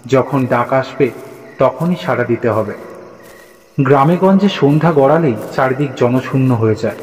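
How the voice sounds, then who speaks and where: A man narrating a scripted horror story in a dramatic, deliberate way. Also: medium pitch, darkish tone. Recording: echoey, reverberant, music behind.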